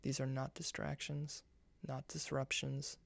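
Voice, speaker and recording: A soft, hushed man guiding a meditation in ASMR style, speaking gently in measured short phrases. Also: mid-range voice, completely flat delivery, vocal fry, no echo.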